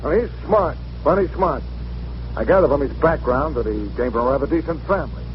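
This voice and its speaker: An actor playing a blunt, frustrated, slangy police commissioner in 1940s radio style. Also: male voice, a little high, lively intonation, a little fast, close to an everyday voice. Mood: exasperated. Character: gruff, frustrated, plain-spoken male police commissioner. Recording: noisy, muffled old radio recording.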